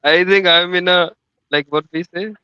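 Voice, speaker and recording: A man's voice: high voice, fairly even intonation, moderate pace, clean tone, no room sound, muffled recording. A man from Madhya Pradesh in India, a book club participant, telling stories eagerly with plenty of fillers. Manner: hesitant, enthusiastic storytelling with many fillers. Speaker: reading group participant. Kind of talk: casual group discussion.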